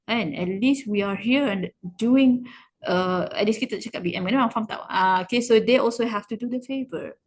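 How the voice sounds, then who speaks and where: A woman's voice: fairly high pitch, a little fast, slight background noise. A woman English teacher with a Malaysian accent, explaining informally and encouragingly, switching freely between English and Malay and repeating words for emphasis. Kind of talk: informal online class, explaining.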